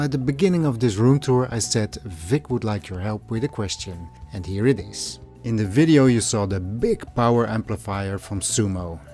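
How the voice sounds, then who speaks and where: A man's voice: mid-range voice, darkish tone. A man hosting his channel, reading a scripted voice-over to viewers. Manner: clear, orderly, addressing viewers directly. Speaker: channel host.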